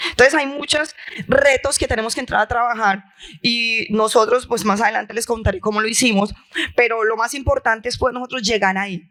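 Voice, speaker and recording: A woman's voice: fairly high pitch, fairly bright tone. Impassioned woman on a panel. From La Guajira, Colombia. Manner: impassioned, emphatic, with rhetorical repetition. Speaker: health insurer leader on a panel. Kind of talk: conference panel talk. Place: conference hall.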